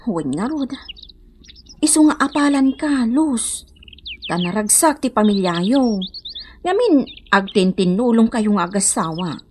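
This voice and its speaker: A weary, aggrieved farm wife from an Ilocano radio drama. Her high voice swoops with emotion as she laments in an Ilocano accent. There is faint noise behind her. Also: big pitch swings, measured pace, pauses often, darkish tone. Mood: resentful, envious.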